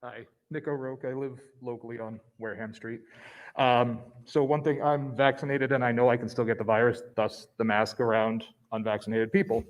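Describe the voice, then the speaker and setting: Measured man making a public comment. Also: medium pitch, level tone, poor audio. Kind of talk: public comment, reading a quote.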